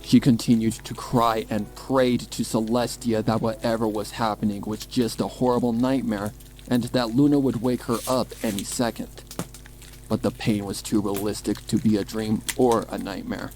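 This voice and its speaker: A man reading a dark horror story as a dramatic scripted narration. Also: on the low side, fairly even intonation, normal speed. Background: music.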